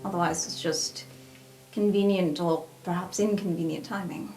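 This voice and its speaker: A woman's voice: lowish voice, steady tone, normal speed, darkish tone, close to an everyday voice. Woman playing inquisitive student in a roomy space. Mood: careful, defensive. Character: inquisitive, bookish female magic-university student. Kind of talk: improvised tabletop roleplay podcast. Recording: roomy sound with faint music.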